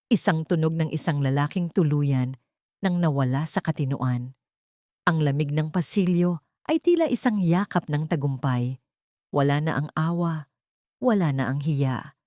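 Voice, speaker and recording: A woman with a fairly low voice narrates a dramatic story from a script. The sound is dry and close, with very little room around it. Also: mellow, dry recording.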